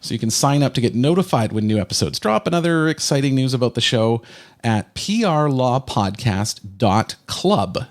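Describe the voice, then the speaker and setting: Podcast host with lively, animated delivery. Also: male voice, mid-range voice, normal speed. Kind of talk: casual podcast chat.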